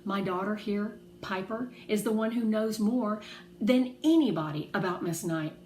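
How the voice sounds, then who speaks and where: A woman voicing a firm, protective, no-nonsense mother in a children's storybook, brisk and polite. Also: mid-range voice, a little mellow, natural voice. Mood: loud, assertive. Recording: roomy echo, faint background noise.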